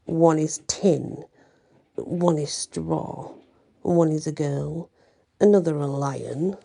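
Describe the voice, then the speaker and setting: A woman voicing an angry, commanding wicked witch in a storybook, with slow, menacing orders in a soft husky voice. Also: low voice, exaggerated voice. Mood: cold menace.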